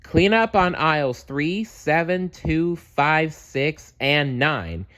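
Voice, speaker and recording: Man as helpful cartoon bear, calling out, announcer-like, raised pitch, storybook read-aloud. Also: animated, takes it slowly, fairly bright tone.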